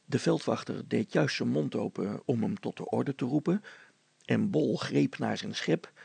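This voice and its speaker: A man reads story narration in a mid-pitched voice. It is heavily creaky and rough, and recorded dry. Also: unhurried, a little mellow, no room sound.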